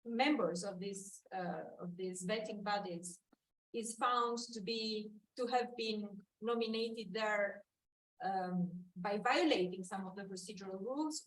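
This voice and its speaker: A woman's voice: medium pitch, steady tone, unhurried. A woman giving an academic conference talk, hesitant, with many fillers and restarts. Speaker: conference panel speaker. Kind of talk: academic presentation. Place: conference hall. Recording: roomy hall mic from far off with hiss.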